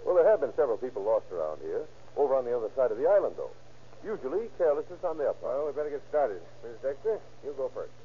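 An actor playing a deferential, plain-spoken boat captain in an old radio drama. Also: male voice, medium pitch, sing-song, fast talker, close to an everyday voice. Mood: folksy, accommodating. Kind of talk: 1940s radio acting. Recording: muffled, hissy old radio recording.

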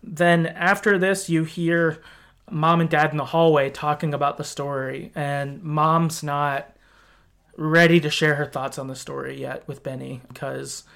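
A man's voice: fairly high pitch, flat delivery, measured pace, creaky, a bit bright. A man in a casual podcast discussion, recapping a story in a conversational, hedging way. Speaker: podcast host.

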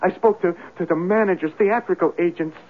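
A desperate young man obsessed with a lost sweetheart. His voice sits high and rough-edged, pressing each phrase with melodramatic fervor. It comes through tinny, like an old radio serial. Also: a little fast. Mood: fervent, insistent. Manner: fervent, repetitive, melodramatic. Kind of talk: old-time radio drama acting.

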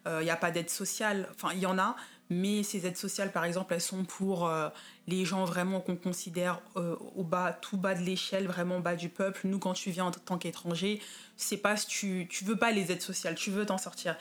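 A woman from the Paris suburbs in her thirties, talking casually as an interview guest with frequent pauses and restarts. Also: on the low side, little pitch movement. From France, Paris suburbs. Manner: thoughtful, many fillers and restarts.

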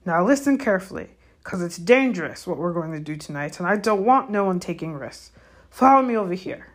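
A woman voicing a big, gruff male gamekeeper with rustic, commanding speech for a storybook reading. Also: fairly low pitch, animated, fairly quick. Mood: grave. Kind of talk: audiobook character voice.

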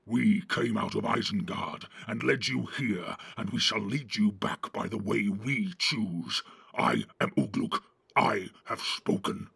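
Storybook orc commander: deep growl, rasping and hard-edged, blunt and boastful. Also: male voice, lively intonation, slow pace, fairly bright tone, dry recording, full character voice. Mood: boastful, scornful. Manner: blunt, domineering. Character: commanding, boastful big male orc captain. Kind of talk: audiobook character voice.

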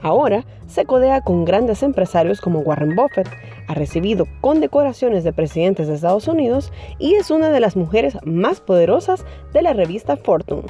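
A woman hosting a business podcast, reading a scripted introduction and asking interview questions in a polished, measured way. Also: mid-range voice, mellow. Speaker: podcast host.

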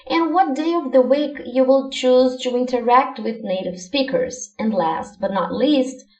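A Brazilian woman whose first language is Portuguese, hosting her own podcast and giving clear, encouraging language-learning advice from a script. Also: on the high side, echoey room, distant mic, low-quality recording. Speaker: podcast host, language coach. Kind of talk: scripted solo podcast lesson.

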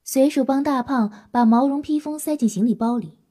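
A woman's voice: high-pitched, a little fast, mellow. A woman reading scripted narration for an audio drama.

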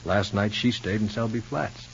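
A dull old radio recording with a faint hum holds a worried, earnest brother. His voice is low and he feels his way through sentences haltingly, in sincere soap opera style. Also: vocal fry. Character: earnest, worried, hesitant brother, a decent ordinary fellow.

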